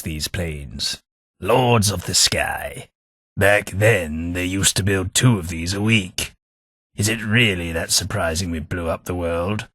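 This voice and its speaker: A prim, sardonic companion. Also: male voice, low voice, a bit bright, breathy edge, dry recording, exaggerated voice. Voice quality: dry, sardonic delivery. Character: prim, snobbish British male companion, dry wit. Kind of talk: video game companion lines.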